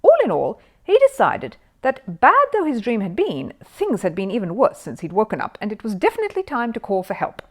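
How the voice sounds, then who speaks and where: A woman narrating a children's storybook expressively, with dramatic phrasing and suspenseful pauses. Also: mid-range voice, wildly swooping pitch. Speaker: storyteller reading a children's book aloud.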